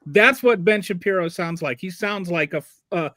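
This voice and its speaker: A man hosting a casual podcast interview, chatty and rambling with halting restarts. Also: high-pitched, pushed and bright, dry recording, clean studio sound. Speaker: podcast host.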